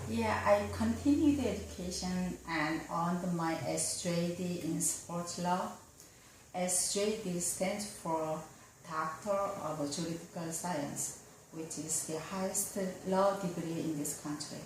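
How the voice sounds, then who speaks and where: Woman attorney, Korean native speaker, lowish voice, measured formal delivery, roomy distant recording. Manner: slow, careful, somewhat formal answers. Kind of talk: answering interview questions. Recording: roomy, distant mic with faint noise.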